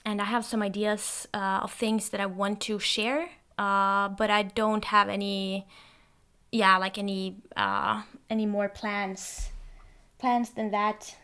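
A young Norwegian woman, tired, talking in a hesitant, reflective way, with many pauses and fillers. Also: medium pitch, little pitch movement, sharp tone, smooth. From Norway. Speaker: podcast host. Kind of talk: casual solo monologue. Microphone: testing a new microphone.

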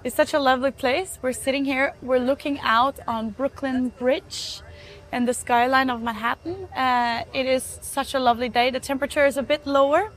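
A woman with a fairly high voice hosts an interview podcast. She presents and questions her guest in the role of interviewer. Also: fairly even intonation, normal speed.

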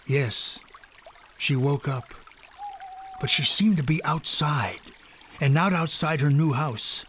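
A man's voice: fairly high pitch, takes it slowly, fairly bright tone, slight background noise. A man narrating a scripted children's story.

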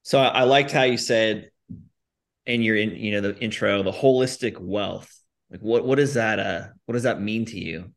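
A man's voice: on the low side, moderate pace, vocal fry. A man co-hosting a podcast, asking questions casually with frequent restarts and fillers. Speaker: podcast co-host.

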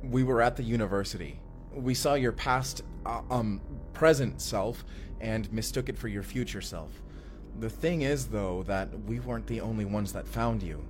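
Man playing a low-voiced, weary time traveler. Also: moderate pace, faint music behind, close to an everyday voice. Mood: shocked, explaining. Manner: earnest, intense, dramatic. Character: weary, suspicious young male time traveler demanding answers. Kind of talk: immersive sci-fi audio roleplay acting.

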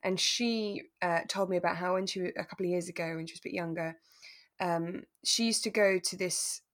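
A woman with a fairly low-pitched voice chats as a podcast co-host. Her delivery is casual and relaxed, as in an informal conversation. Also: brisk.

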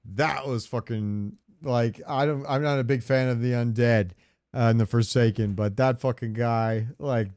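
A man's voice: mid-range voice, moderate pace, no echo, clean studio sound. A man hosting a podcast in casual fan chat, talking freely and profanely. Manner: profane, animated retelling with quoted lines. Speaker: podcast host.

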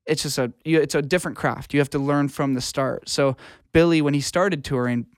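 Clear-voiced man chatting earnestly, dry sound. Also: a little high, fairly even intonation, a little fast, no room sound. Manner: colloquial, earnest, peppers talk with "man" and "like". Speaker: interview guest, audio engineer and music producer. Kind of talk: casual interview conversation.

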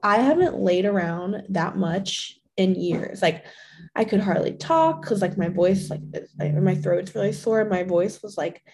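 A young woman hosting a fitness podcast alone, chatty and full of fillers, her voice slightly hoarse from being sick. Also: mid-range voice, animated, unhurried, crowd noise. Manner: chatty, many fillers, laughs often. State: slightly hoarse from a recent illness. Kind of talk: casual solo podcast talk.

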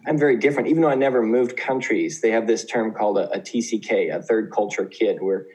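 A man's voice: lowish voice, fairly even intonation, fast talker, a little mellow, poor audio. A man in his thirties from Oklahoma, a teacher, talking casually as a guest in an interview, with many fillers and restarts. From Oklahoma, USA. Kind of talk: conversational interview.

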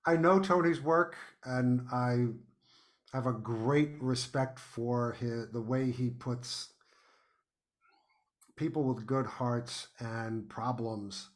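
A man with a medium-pitched voice talks casually as a podcast guest. His manner is enthusiastic and emphatic, full of effusive appreciation. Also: steady tone, slow pace. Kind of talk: casual conversational review.

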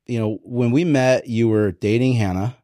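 A man's voice: mid-range voice, moderate pace, dark tone, no room sound, studio quality. A man hosting a podcast, chatting with a friend and prompting him with easy questions. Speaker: podcast host. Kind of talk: casual interview chat.

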